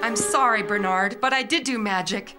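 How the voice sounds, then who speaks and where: A woman voicing a caring witch mother in her thirties in a cartoon, motherly and expressive. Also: fairly high pitch, moderate pace, lightly acted. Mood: tender. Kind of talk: cartoon dub voice acting. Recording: loud music under the voice.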